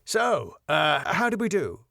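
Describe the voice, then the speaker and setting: A man's voice: a little high, sing-song, slow, creaky, sharp tone, dry recording, pitched above the performer's own voice, full character voice. A man voicing a flustered club owner in a sitcom, stammering, hesitant and eager to please. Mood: fawning. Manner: stammering, hesitant, over-apologetic. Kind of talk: sitcom script read aloud.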